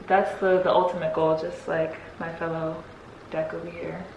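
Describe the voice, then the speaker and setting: A woman's voice: sits low, little pitch movement, moderate pace, gravelly, a little mellow. Giggly young woman, echoey recording. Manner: giggly, chatty, easygoing. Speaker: guest athlete. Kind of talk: casual Q&A chat. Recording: echoey with faint noise.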